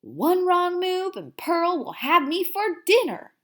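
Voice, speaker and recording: Woman reading as a dramatic little hamster, very animated. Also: very high-pitched, pitched above the performer's own voice, full character voice. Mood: alarmed, indignant. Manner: theatrical, with drawn-out emphasis and hushed asides. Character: excitable, dramatic little hamster telling his diary. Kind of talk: expressive children's read-aloud.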